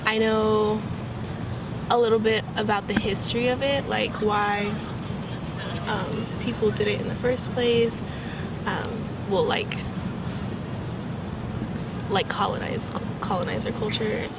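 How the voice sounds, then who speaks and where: Young woman answering over loud traffic. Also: medium pitch, steady tone. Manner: thoughtful, halting with fillers. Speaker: interviewee. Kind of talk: street interview answers. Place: outdoors on a city street. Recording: loud street traffic noise.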